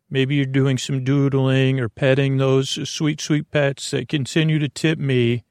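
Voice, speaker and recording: Male sleep podcast host, gravelly and close-sounding in a dry space, meandering and halting through whimsical bedtime rambles. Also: mid-range voice, a little mellow, dry recording. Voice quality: creaky, gravelly. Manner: meandering, halting, repeats words and drifts into whimsical tangents.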